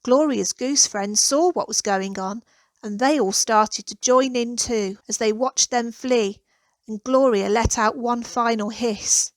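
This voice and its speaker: A woman narrating a children's storybook in an expressive, deliberate storytelling manner. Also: a little high, no room sound. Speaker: author reading own children's story.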